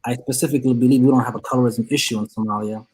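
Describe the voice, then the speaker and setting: A man's voice: medium pitch, fairly even intonation, very fast, darkish tone, husky, rough recording. A man speaking as a college counseling professor on a panel discussion, earnest and academic, with frequent restarts.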